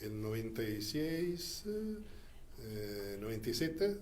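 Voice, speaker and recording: A man who learned Spanish as a second language recounts his years as a Church diplomat in an interview, speaking deliberately with frequent pauses. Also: lowish voice, lively intonation, darkish tone, slight background noise. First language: not Spanish.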